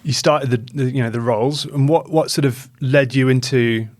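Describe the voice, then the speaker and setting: A man's voice: medium pitch, fairly even intonation, normal speed, darkish tone, no echo. A man hosting a podcast, chatting informally and asking loosely phrased questions. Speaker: podcast host. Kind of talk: casual interview chat.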